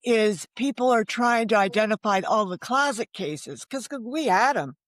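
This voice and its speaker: A woman's voice: fairly high pitch, lively intonation, moderate pace, no echo, studio quality. An older woman from the United States telling personal stories in conversation, candid and self-deprecating, with rhetorical asides. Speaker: novelist, interview guest. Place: remote video call.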